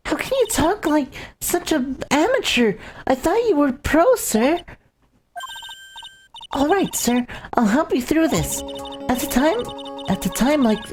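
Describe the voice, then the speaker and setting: A scrappy young policewoman from a video game, as a woman acts her out, talks in a high, emphatic voice and stumbles eagerly over her words. Game music sits clearly behind her. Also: darkish tone. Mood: exasperated.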